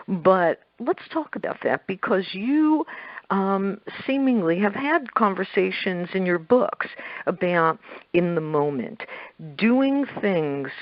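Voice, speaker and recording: A woman's voice: fairly low pitch, dry recording, highs cut off. A middle-aged or older woman hosting a radio interview in a chatty, rambling, emphatic conversational way. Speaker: radio talk show host. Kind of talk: conversational interview with personal anecdotes.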